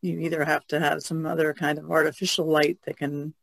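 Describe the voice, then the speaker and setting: A woman's voice: low-pitched, little pitch movement, a little fast, vocal fry, dry recording. A woman artist talking casually as a panelist on a podcast.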